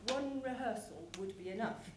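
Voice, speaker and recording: A woman giving a prepared conference presentation, explaining things in measured phrases with deliberate pauses. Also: on the high side, expressive. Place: large conference hall with an audience. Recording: echoey conference hall, clear background noise.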